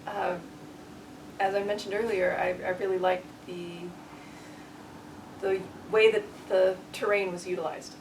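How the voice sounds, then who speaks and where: A woman guest talking in a thoughtful, measured way during a casual outdoor interview at a disc golf course. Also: medium pitch, flat delivery. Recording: distant outdoor mic with background noise.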